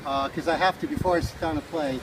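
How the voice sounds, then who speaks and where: A man's voice: fairly high pitch, fairly even intonation, quick pace. A middle-aged man from Hawaii, a musician chatting casually and philosophically in a rambling way during an interview. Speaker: street musician being interviewed. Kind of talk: casual reflective chat. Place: outdoors by a beach park. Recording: loud outdoor background noise with vehicle sounds.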